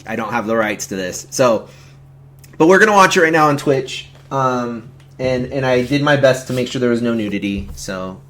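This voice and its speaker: A man's voice: mid-range voice, lively intonation, normal speed, a bit bright. A man hosting a film watch-along stream, talking in a casual, jokey, informal way. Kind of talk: casual chat and film commentary.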